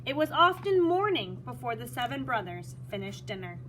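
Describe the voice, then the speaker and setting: A woman reading a children's story aloud in an expressive, teacherly manner, pausing to explain words. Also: a little high, background noise.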